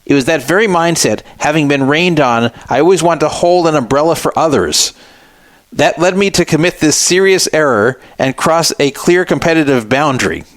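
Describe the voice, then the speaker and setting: A man's voice: a little high, expressive, moderate pace, no echo. A man hosting a talk radio show, giving opinionated commentary and scoffing sarcastically at a statement he reads aloud.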